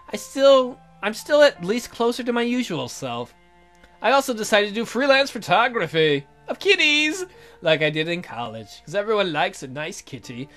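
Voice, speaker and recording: A man's voice: high-pitched, a bit bright. A man hosting a gaming video, reading visual novel narration aloud in a theatrical storytelling manner.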